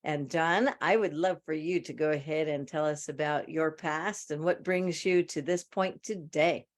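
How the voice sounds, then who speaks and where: A woman's voice: low-pitched, normal speed. A middle-aged woman hosting a podcast, opening it with a friendly scripted introduction. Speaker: podcast host. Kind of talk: interview hosting.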